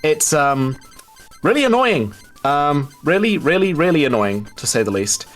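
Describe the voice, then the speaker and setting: A man's voice: on the high side, very animated, unhurried, fairly bright tone, soft background music. A man commentating a live gaming race, explaining things hesitantly with dry, understated humour.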